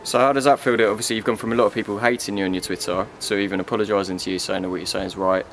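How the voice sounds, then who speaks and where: Very fast-talking, lowish-voiced male interviewer. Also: fairly even intonation, a bit bright, faint music behind. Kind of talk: informal interview questioning.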